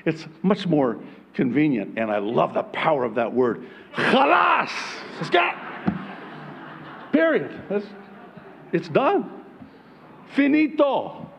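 A middle-aged man whose first language is Arabic preaches from a church pulpit in an unhurried, deliberate way. He jokes with the congregation and climbs to loud, emphatic peaks. The recording sounds slightly dull and lo-fi. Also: on the high side, very animated, gravelly, a little hiss or hum. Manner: humorous, rising to emphatic near-shouting peaks. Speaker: pastor. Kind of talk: sermon. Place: church stage behind a pulpit. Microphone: pulpit microphone.

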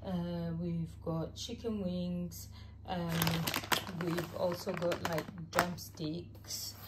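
A woman, a mother, chatting casually to camera about her groceries, unhurried and full of ums and uhs. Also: fairly low pitch, flat delivery, very noisy. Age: adult mother. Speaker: vlogger showing a grocery haul. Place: home, at a table with groceries.

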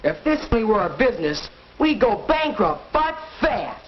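A man's voice: high voice, very animated, measured pace. A young American man playing a brash, demanding teenage son in a 1940s instructional film, blunt and emphatic. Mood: exasperated. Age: teens to young adult. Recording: dull, noisy 1940s film soundtrack.

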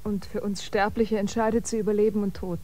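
An actress playing a self-possessed musician bound for Mars in a science-fiction radio play, earnest and articulate. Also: female voice, medium pitch, fairly even intonation, fairly quick, over music, natural voice. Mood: composed.

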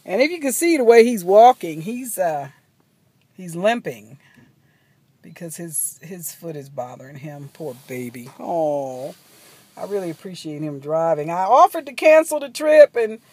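A woman's voice: on the low side, expressive, measured pace, darkish tone, slightly rough. A middle-aged woman chatting to her phone camera from a parked minivan at a gas station, rambling with ums and asides. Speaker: travel vlogger. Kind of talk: casual vlog chat to camera. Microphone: phone camera at arm's length.

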